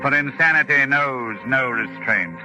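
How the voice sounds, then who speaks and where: A man's voice: medium pitch, a little slow, muffled recording, close to an everyday voice. An actor playing an educated, brooding doctor who faces his killer, speaking formally and earnestly in 1940s radio suspense style. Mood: grave, resigned. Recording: vintage radio drama with music behind.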